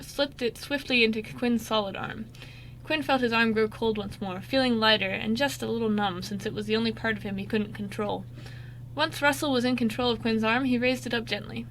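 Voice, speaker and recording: Female radio-drama narrator, higher voice, occasional stumbles, faint noise behind. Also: fairly even intonation, brisk, a bit bright, rough recording.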